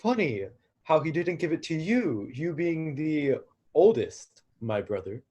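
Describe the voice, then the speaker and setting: A man's voice: on the high side, sing-song, measured pace, warm tone, slightly rough, heavily acted. Man playing an earnest, self-doubting young god. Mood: defiant. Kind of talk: live staged reading of a play.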